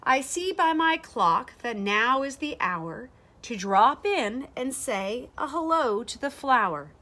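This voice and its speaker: A woman in her thirties reading a rhyming children's picture book aloud in a deliberate, expressive way, pausing to explain. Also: sits high. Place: small sunroom with large windows, indoors.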